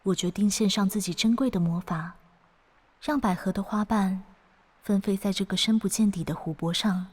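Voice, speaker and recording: A woman playing an ageless, gentle and wistful ferrywoman of souls in an audio drama, speaking in a reflective, poetic way with a quiet teasing edge. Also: medium pitch, normal speed, slightly rough, close to an everyday voice. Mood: wistful narration. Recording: studio voice over background music.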